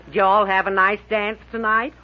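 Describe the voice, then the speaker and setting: A woman's voice: medium pitch, little pitch movement, moderate pace, natural voice. A woman playing a blunt, nosy, folksy cleaning woman in a 1940s radio comedy. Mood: brisk, blunt. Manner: folksy, matter-of-fact. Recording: muffled, hissy 1940s radio recording.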